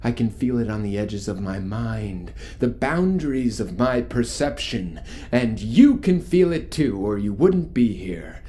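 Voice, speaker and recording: An actor playing a charismatic, ominous cult leader in a horror audio drama, preaching grandiosely with rhetorical pauses. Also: male voice, fairly low pitch, lively intonation, warm tone. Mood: mystical, commanding. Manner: theatrical, grandiose, pausing for rhetorical effect.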